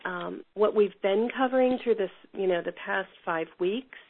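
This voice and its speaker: A woman with a cold, sounding a bit hoarse, teaching an online class in a rambling way with lots of fillers and restarts. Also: mid-range voice, normal speed, noisy background. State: has a cold, a little hoarse. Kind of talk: teaching a class over a call. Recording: tinny phone line.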